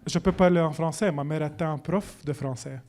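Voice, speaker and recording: A man's voice: fairly high pitch, fast talker, darkish tone. A young man from Lebanon, French his first language, telling his story as a guest chef in an interview. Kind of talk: casual interview chat.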